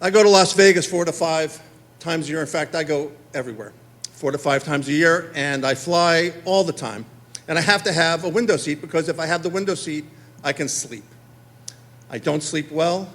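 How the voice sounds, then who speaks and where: A middle-aged man, telling a stand-up story on a theater stage and acting out the dialogue in quick back-and-forth. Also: on the high side. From Queens, New York. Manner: animated, acts out dialogue in quick exchanges. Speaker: stand-up comedian.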